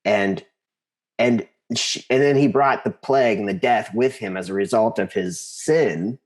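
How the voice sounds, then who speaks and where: A man being interviewed, telling his story conversationally and haltingly, repeating words as he thinks. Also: lowish voice. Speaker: interview guest, composer.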